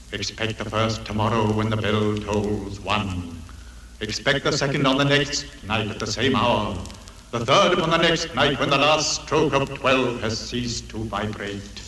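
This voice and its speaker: A man acting a mournful, solemn ghost of a dead business partner in an old-time radio drama, declaiming gravely and formally. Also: lowish voice, pushed and bright. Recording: echoey, distant, noisy vintage radio recording.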